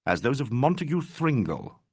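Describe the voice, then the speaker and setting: A man reading an audiobook voices a calm, weary police inspector who questions a suspect firmly and dryly. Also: mid-range voice, gravelly, breathy edge, no room sound, full character voice. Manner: measured, dry, firm.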